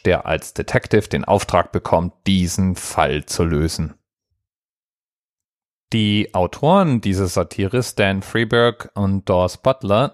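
A man's voice: lowish voice, dry recording, clean studio sound. A man hosting a podcast, explaining things in a casual solo monologue. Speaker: podcast host.

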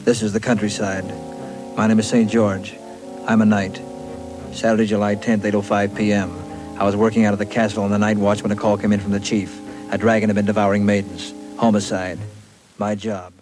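A man acts out a laconic knight detective in a 1950s radio crime parody. He is low and even, dry and clipped, with clear music behind him. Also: brisk, lightly acted. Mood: deadpan, businesslike. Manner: deadpan, clipped. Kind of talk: 1950s radio police drama parody narration.

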